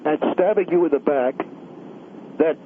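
A man's voice: on the high side, moderate pace, natural voice. A man voicing a smug, pompous, self-righteous older male pundit who is a guest on a call-in radio show, heard over a telephone line. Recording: tinny phone line with faint hiss.

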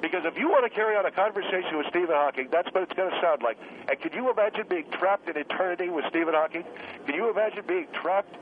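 A man's voice: high voice, fast talker, close to an everyday voice. A man acts out an irascible male scientist calling a comedy radio show. He harangues and belittles in a condescending way. The line is thin and telephone-like, with faint noise. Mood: mocking. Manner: haranguing, insulting, goading. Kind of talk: comic radio phone-in. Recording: tinny, noisy phone line.

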